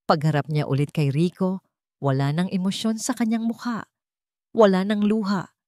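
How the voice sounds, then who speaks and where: A woman's voice: medium pitch, steady tone, moderate pace, a little mellow, no echo, studio quality. A woman storyteller reading a scripted dramatic story.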